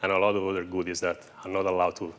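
A man's voice: low voice, little pitch movement, rapid-fire, fairly bright tone. A man in his thirties co-presenting as an AI researcher, explaining technical results on stage with a lapel mic. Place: indoor stage in a brick-walled event room before an audience.

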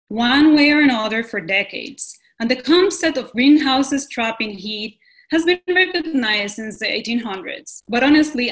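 A woman from Rico speaks with a slightly high voice. She presents as a pediatrician in an earnest, measured manner, reading her script over a video call from a room at home. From Puerto Rico. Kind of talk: prepared presentation.

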